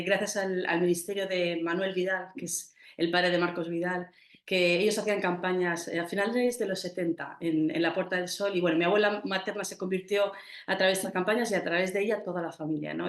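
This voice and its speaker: A woman's voice: on the low side, steady tone, brisk, reverberant. A woman from Madrid with a Madrid Spanish accent, a festival organizer answering interview questions earnestly. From Madrid, Spain. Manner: earnest, with frequent "¿no?" tags and restarts.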